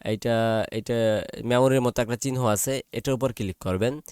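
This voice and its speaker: A man with a Bangladeshi accent walks through software step by step in an informal way. His voice sits at a middling pitch in a dry, close recording. He repeats each step and often checks that listeners are following. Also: fairly even intonation, normal speed, clear, resonant tone, no echo. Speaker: tutorial presenter.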